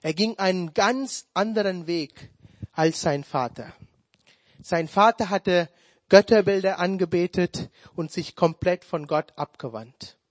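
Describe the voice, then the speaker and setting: A man whose first language is Tamil, preaching a sermon in a slow, deliberate way with touches of humor. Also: on the high side, no room sound.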